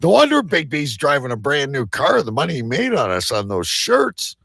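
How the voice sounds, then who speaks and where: A man's voice: fairly high pitch, huge pitch swings, normal speed, vocal fry, fairly bright tone, slightly rough, no room sound. A man hosting a casual panel chat, bantering in a blunt, teasing way. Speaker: show host.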